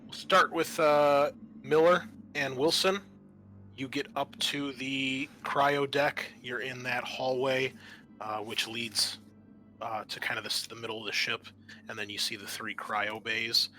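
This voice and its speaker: Bright-voiced man running a tabletop game. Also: medium pitch, steady tone, a little slow, soft background music. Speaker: game master. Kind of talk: improvised tabletop game narration.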